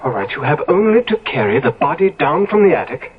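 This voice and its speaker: An actor playing a desperate, guilty young gentleman in a 1970s radio drama, with stammering, theatrical, pleading delivery. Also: a little high, very animated, fairly quick, slightly rough, exaggerated voice. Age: young adult. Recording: dull, lo-fi old radio recording.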